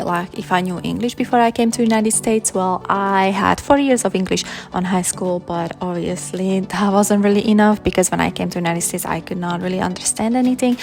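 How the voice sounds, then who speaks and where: A woman's voice: fairly low pitch, gravelly. A woman in her thirties from Slovakia, chatting casually while doing her makeup in a vlog. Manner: relaxed, chatty, talks while applying makeup. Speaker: vlogger, stay-at-home mom. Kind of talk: casual makeup tutorial chat.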